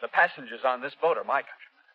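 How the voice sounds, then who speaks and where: An actor playing a frightened, conscientious young man with a nervous, halting, pleading manner, in 1930s radio drama style. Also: medium pitch, expressive, husky. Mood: indignant, desperate. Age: young adult. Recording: dull vintage 1930s radio broadcast.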